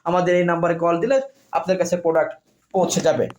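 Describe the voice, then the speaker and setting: Fast, high-pitched Bangladeshi salesman. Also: little pitch movement, darkish tone. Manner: repetitive, insistent, with list-like phrasing. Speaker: product seller. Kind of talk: informal product demonstration sales pitch.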